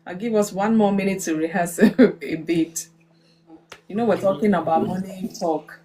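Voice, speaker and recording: A woman's voice: mid-range voice, steady tone, moderate pace, mellow, low-quality recording. A woman with a Nigerian accent hosting a casual live group chat in a playful, coaxing, encouraging way. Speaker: live stream host.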